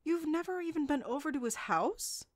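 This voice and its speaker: A woman acts out a nagging audiobook mother, disapproving of her teenage son. Her voice is high and swings widely in pitch as she lays down the rules. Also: lively intonation, moderate pace. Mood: stern disapproval.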